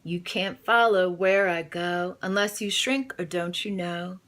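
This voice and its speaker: A woman's voice: fairly low pitch, unhurried, a bit bright. A woman voicing a cheeky, fearless tiny girl in a children's storybook. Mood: teasing. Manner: cheeky, bold.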